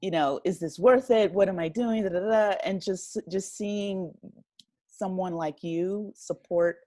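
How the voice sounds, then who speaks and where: A woman speaking as a podcast guest in casual conversation, reflective and appreciative, gathering her thoughts haltingly as she goes. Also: mid-range voice, warm tone, no echo, studio quality. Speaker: podcast guest, writer and audio drama creator.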